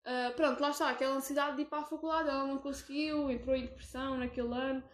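High-voiced young Portuguese woman, hesitant. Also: steady tone, rapid-fire, roomy sound. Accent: European Portuguese. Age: young adult. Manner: hesitant, full of fillers and restarts. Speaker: podcast co-host. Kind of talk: casual chat.

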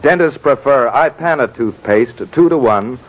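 A man's voice: medium pitch, lively intonation, measured pace. A man reading a 1940s radio commercial claim. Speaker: commercial announcer. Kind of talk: 1940s radio advertising read. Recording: muffled vintage radio broadcast.

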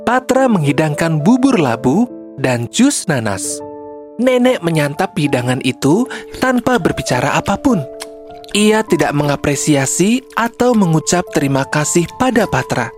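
A woman's voice: sits low, big pitch swings, unhurried, over music. A woman narrator reading a scripted children's story.

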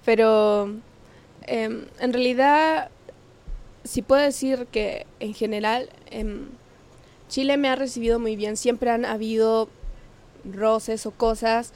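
A young woman from Ecuador talks casually as an interview guest. Her voice sits a little high, and she speaks hesitantly, often pausing on fillers before going on, with quick laughs along the way. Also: steady tone, darkish tone. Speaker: guest, singer.

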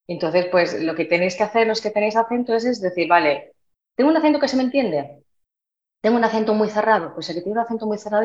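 A woman from Huelva, giving emphatic, conversational advice as an interview guest who trains voices. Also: mid-range voice. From Huelva, Andalusia, Spain. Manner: emphatic, didactic, checks in with listeners. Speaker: guest, voice trainer and speech expert. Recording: dull, roomy, echoey sound.

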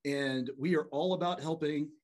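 Upbeat man hosting a podcast, higher voice. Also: fairly even intonation, moderate pace, darkish tone. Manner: enthusiastic, leads the questions. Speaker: podcast host.

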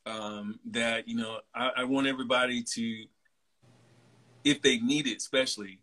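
A man in his forties hosts a relaxed conversation and talks at an easy, rambling pace full of fillers. His voice is bright and forward, sitting at a middle pitch. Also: moderate pace. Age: 40s. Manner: relaxed and chatty, many fillers. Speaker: host. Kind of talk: casual interview chat.

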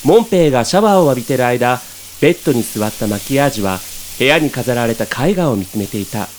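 A man's voice: mid-range voice, lively intonation, quick pace, slight background noise. A man reading scripted narration for a comic radio drama.

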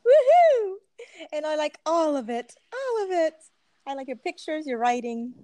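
A woman's voice: high-pitched, very animated, a little slow, mellow, no room sound. A woman hosting a podcast, chatting casually and bubbly over a remote call, with lots of laughter and fillers. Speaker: podcast host. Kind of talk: casual conversational chat. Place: staying in Puerto Rico, remote call.

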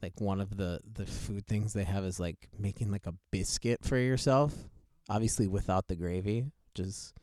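A man's voice: on the low side, steady tone, moderate pace, few pauses, dark tone, dry recording, studio quality. A man co-hosting a casual podcast, rambling with many fillers and restarts. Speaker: podcast co-host.

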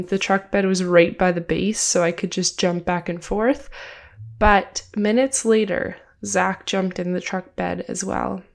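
A woman's voice: fairly low pitch, vocal fry. A young woman with a stuffed-up, congested voice, hosting her own podcast in a chatty, upbeat way and laughing now and then. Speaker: podcast host. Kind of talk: casual solo podcast talk.

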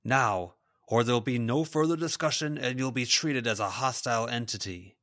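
A man's voice: mid-range voice, normal speed, a bit bright, voice pushed up. A man voicing a stern, authoritative male schoolteacher with a firm, commanding manner in an audiobook.

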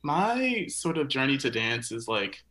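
A young man recalls how he came to dance in a loose, rambling way, full of likes and self-deprecating remarks. His voice is bright and lively, rising and falling freely. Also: mid-range voice, measured pace. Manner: casual, heavy use of like, self-deprecating. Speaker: dancer, guest. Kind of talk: conversational interview answers.

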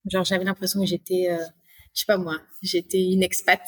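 Husky-voiced woman in her late thirties. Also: on the low side, flat delivery, brisk. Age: late 30s. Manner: chatty, rambling, with many fillers and restarts. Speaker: podcast guest. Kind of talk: casual interview conversation.

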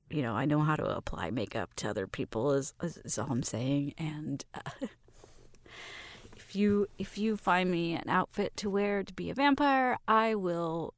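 A woman's voice: low-pitched, dry recording, studio quality, heavily acted. A woman playing a shy, smitten young roommate in an intimate roleplay, nervous and stammering as she talks to the listener. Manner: nervous, stammering, giggly, self-interrupting.

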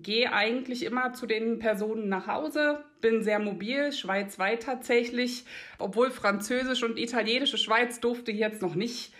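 A woman's voice: a little high, fairly bright tone. A middle-aged woman from the Berlin area of Germany, chatting at length in careful standard speech as an interview guest who works as a make-up artist. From Brandenburg near Berlin, Germany. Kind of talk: conversational interview answers.

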